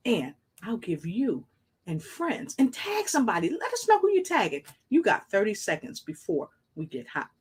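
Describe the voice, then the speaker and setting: A woman hosting an exuberant live online show, full of rhyming catchphrases, repetition and hype, with a husky voice. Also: mid-range voice, huge pitch swings, normal speed. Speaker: talk show host.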